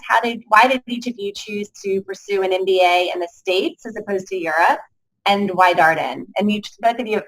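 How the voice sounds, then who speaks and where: A woman moderating a student panel, briskly introducing speakers and asking questions. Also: mid-range voice, a bit bright, low-quality recording.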